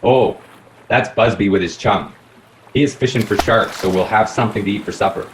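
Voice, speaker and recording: A man playing a courteous, probing detective in a radio play, measured and theatrical. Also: lowish voice, natural voice. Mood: inquisitive. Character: probing, courteous male detective aboard a boat. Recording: echoey, faintly noisy room recording.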